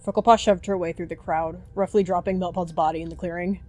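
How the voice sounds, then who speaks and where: Low-pitched woman narrating a story. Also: fairly even intonation, fast talker, warm tone, soft background music. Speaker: narrator and roleplayer. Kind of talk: scripted story narration of a roleplay.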